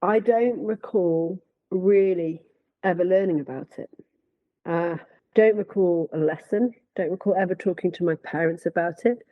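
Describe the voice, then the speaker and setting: A woman's voice: lowish voice, normal speed, no echo, narrow, tinny audio. A woman from Manchester, a parent guest reflecting on her upbringing in a podcast discussion. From Manchester, England.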